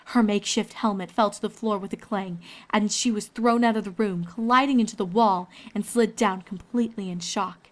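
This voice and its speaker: A woman's voice: mid-range voice, steady tone, normal speed, hardly any pauses. A woman reading scripted story narration as the narrator of a fan fiction.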